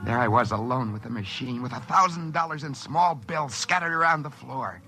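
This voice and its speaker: An American actor playing an excitable, work-shy schemer who stammers when flustered, in mid-century radio drama style. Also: male voice, mid-range voice, normal speed, close to an everyday voice. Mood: smug, musing. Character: work-shy scheming dreamer who finds a wishing machine. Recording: vintage radio recording with faint music.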